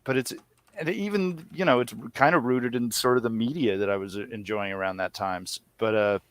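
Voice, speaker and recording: A man's voice: mid-range voice, moderate pace, fairly bright tone, no room sound. A man in his thirties talking casually as an interview guest in a rambling, wry, self-deprecating way. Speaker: guest, audio series co-creator and musician.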